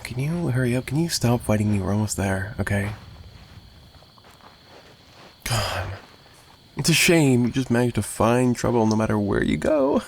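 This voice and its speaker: A man playing a possessive, menacing and flirtatious mafia boss in an ASMR roleplay monologue, taunting and sarcastic with drawling pauses. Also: mid-range voice, very animated, creaky, a little mellow, slight background noise. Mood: irritated.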